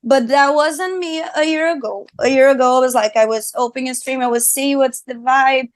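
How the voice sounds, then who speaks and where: A woman's voice: high voice, brisk, dry recording. A young woman from Brazil with a Brazilian Portuguese accent, a singer and streamer chatting as a guest in an interview, upbeat and full of fillers and restarts. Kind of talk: casual interview chat.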